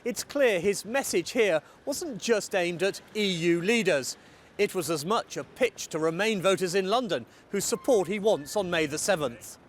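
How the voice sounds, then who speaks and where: A man giving live political analysis as a correspondent, measured and analytical. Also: sits high, lively intonation, slight background noise.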